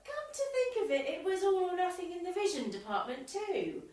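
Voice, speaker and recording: A woman's voice: very high voice, huge pitch swings, fairly quick, darkish tone, full character voice. A woman acting a wry, cheeky English village committee member in an amateur radio comedy, dry and breezy. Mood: droll. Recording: echoey room, distant microphone.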